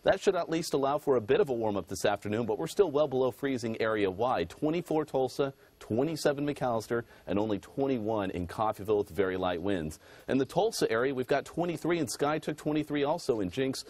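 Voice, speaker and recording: A man's voice: mid-range voice, a little fast, darkish tone, no room sound. A man delivering a TV weather forecast in a conversational, easygoing way. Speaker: meteorologist.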